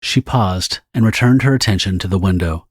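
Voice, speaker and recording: Husky, low-voiced man narrating horror. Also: normal speed, no echo. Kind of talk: scripted dramatic horror story narration. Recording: dry, with music underneath. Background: music.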